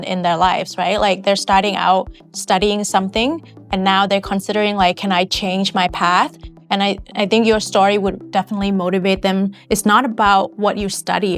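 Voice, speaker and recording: A woman at a mid pitch hosts the conversation, warmly affirming what her guest says and turning it into questions. She speaks casually with frequent "like" fillers. Light music sits faintly behind her voice. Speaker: host. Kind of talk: interview conversation.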